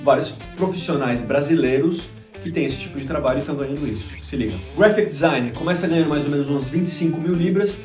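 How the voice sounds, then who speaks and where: A Brazilian man hosts a vlog in a chatty, conversational way, full of little fillers. He talks quickly, and his voice sits a bit high. He is recorded from a little way off in an echoey room, with faint music under him. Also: recorded from a distance. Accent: Brazilian Portuguese. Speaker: YouTube channel host. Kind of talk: casual talk to camera. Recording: roomy echo, faint music behind.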